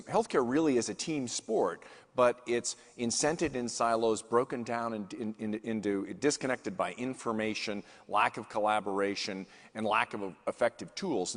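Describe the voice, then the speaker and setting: A male chief executive answering questions on a conference panel, earnest, often restarting his phrases. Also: mid-range voice. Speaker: CEO guest speaker. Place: conference stage in a large hall. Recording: long hall reverb.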